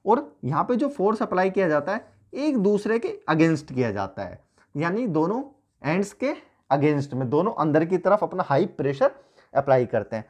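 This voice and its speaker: A man in his thirties teaches an engineering topic, talking through each step as he sketches on a whiteboard. His voice swings widely up and down in pitch. He is picked up by a camera a few feet away in a small room. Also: very animated, normal speed, darkish tone. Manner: explains step by step, pointing and drawing while talking. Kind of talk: whiteboard lecture.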